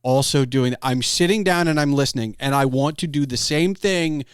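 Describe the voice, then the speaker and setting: A man's voice: medium pitch, normal speed, smooth, no echo, studio quality. A middle-aged man in casual podcast conversation, rambling and digressive, often breaking off his clauses to start another. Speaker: podcast host.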